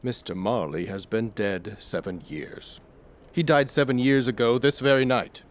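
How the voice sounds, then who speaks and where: A man's voice: mid-range voice, measured pace, close to an everyday voice. A man playing a bitter old miserly uncle in an audio drama, curt, sneering and sarcastic. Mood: flat, curt.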